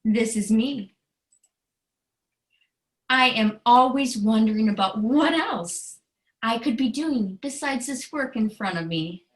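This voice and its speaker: Slow-paced woman, earnest children's author. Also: fairly high pitch, expressive. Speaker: children's book author reading her book. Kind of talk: storybook read-aloud and explanation.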